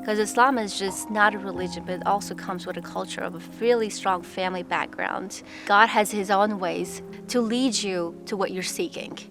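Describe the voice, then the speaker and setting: A woman's voice: medium pitch, fairly even intonation, normal speed, few pauses. Earnest young woman from Pakistan, music behind. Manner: earnest, reflective. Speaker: testimony speaker. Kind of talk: personal testimony. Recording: music bed underneath.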